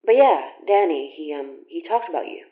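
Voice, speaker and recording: A woman's voice: deep voice, lively intonation. Casual young woman, roomy echo. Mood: reassuring, chatty. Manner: casual, halting, conversational. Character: young woman, friendly, teasing and caring. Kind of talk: naturalistic audio drama acting. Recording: roomy echo, dull lo-fi sound.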